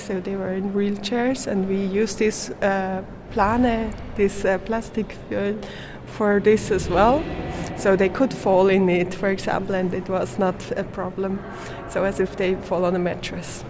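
A woman's voice: medium pitch, level tone, measured pace, few pauses, noisy background. Hesitant woman speaking English. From Switzerland. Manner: hesitant, searching for English words with many fillers and light laughs. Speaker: performance artist, interviewee. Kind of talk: casual interview answers.

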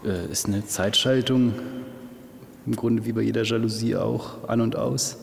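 Man, artist, casual explanation, filler words, creaky, long hall reverb. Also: lowish voice, fairly even intonation, unhurried. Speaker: artist explaining his work. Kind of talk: interview explanation. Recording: reverberant hall, faint noise.

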